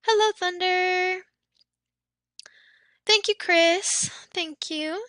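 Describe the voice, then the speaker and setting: High, bright young woman chatting on stream. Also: fairly even intonation, drawn-out words, no echo, clean studio sound. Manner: chatty, reads out chat, trails into soft whispery asides. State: losing her voice, throat a bit off. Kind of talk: casual chat with live stream viewers.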